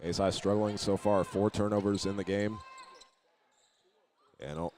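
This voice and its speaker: Low, even-voiced man calling basketball. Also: unhurried. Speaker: play-by-play announcer. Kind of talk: live basketball play-by-play commentary. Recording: noisy gym ambience behind the voice.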